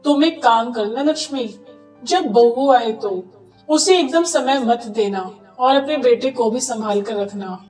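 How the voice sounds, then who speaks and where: A woman's voice: a little high, a little slow, a little mellow, roomy sound, distant mic, faint music behind, natural voice. A woman voicing a scheming neighbour who hands out advice in a conspiratorial, instructing way in a cartoon story.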